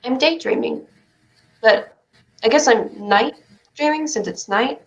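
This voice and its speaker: A woman acts out a child in a staged play reading, with a high voice. The kid is stubborn and imaginative, a young dreamer who takes make-believe seriously. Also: measured pace, close to an everyday voice. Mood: dreamy, musing.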